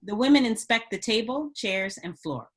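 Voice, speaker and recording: A woman's voice: on the high side, lively intonation, moderate pace. A woman reading stage directions as scripted narration for a table read.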